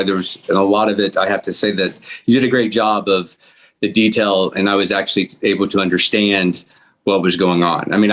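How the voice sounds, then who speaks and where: A man's voice: lowish voice, fairly bright tone. A man, an actor at a table read, giving informal unscripted remarks and restarting his thoughts mid-sentence.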